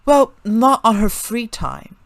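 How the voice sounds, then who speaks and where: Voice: medium pitch, sing-song, takes it slowly, creaky, a bit bright, no echo, pitched above the performer's own voice, heavily acted. A performer voicing a frank, chatty, friendly girl who explains things matter-of-factly in a story reading.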